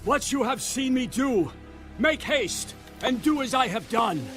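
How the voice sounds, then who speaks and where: Male actor as a warlord rallying troops, very high raised voice, audio drama with faint music. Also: expressive, measured pace, fairly bright tone, heavily acted. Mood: urgent, commanding. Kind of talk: audio Bible drama acting.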